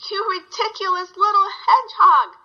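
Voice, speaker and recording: A woman's voice: very high-pitched, normal speed, lo-fi sound, voice pushed up. A woman voicing an amused, scolding girl in a children's story. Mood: laughing scold.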